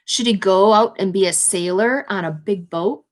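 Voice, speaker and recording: A woman with a mid-range voice reads to young children in the manner of a teacher. She gives the story text a deliberate, expressive delivery and adds plain, simple explanations along the way. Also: mid-range voice. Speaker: teacher reading a picture book aloud. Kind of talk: children's read-aloud with explanatory asides.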